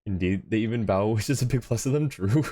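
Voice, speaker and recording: A man's voice: fairly low pitch, quick pace, warm tone. Chuckling, easygoing male streamer. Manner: laughs often, rambling and easygoing. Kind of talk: casual chat with viewers while streaming.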